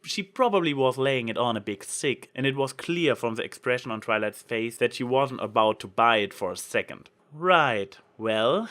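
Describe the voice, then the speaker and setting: A man's voice: medium pitch, fairly quick, few pauses, fairly bright tone. A man reading a scripted story aloud as its narrator. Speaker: story reader. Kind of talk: scripted narration of fan fiction.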